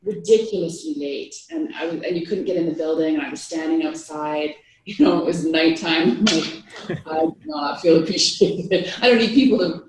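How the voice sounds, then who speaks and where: A woman's voice: sits low, moderate pace. Woman host of a workshop, chatty and friendly, distant mic, echoey room with faint noise. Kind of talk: facilitating a round table.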